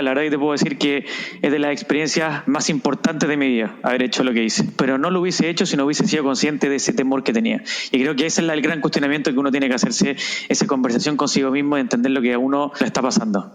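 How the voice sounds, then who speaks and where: A man with Spanish as his first language, guest on an interview podcast, speaking reflectively with frequent restarts and tag questions. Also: mid-range voice. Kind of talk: conversational interview.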